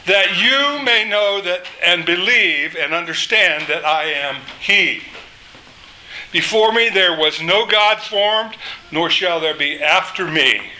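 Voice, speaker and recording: An older man preaching a sermon, reading scripture aloud with emphatic, drawn-out phrasing and a loud voice. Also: high-pitched, poor audio. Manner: slow, emphatic delivery, rises when quoting scripture.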